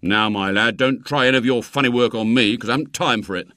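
A man's voice: mid-range voice, fast talker, pushed and bright, no echo, studio quality, natural voice. A man voicing a stern, unamused policeman who has no time for nonsense, in a storybook reading. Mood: dismissive. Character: stolid unamused male policeman, dismissive. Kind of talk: acted dialogue in storybook reading.

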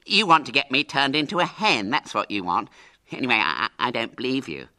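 Man acting a whiny, high-pitched gullible schoolboy. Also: normal speed, pushed and bright, no room sound, clean studio sound. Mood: anxious, stammering.

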